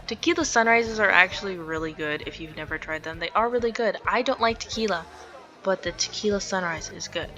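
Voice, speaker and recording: Woman, bright tone, theatrical visual novel narration, casual streamer asides, music behind. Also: mid-range voice, fairly quick. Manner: expressive, theatrical reading. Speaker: let's play streamer. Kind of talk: reading a visual novel aloud with casual reactions.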